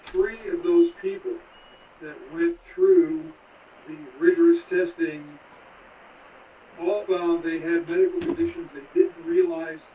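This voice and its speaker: A man in his seventies telling his own story as an interview guest, unscripted, halting and explanatory, over a distant radio link. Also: very high-pitched, very animated, vocal fry, narrow, tinny audio, slight background noise. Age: 70s. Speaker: interview guest, transplant recipient. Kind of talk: unscripted personal account.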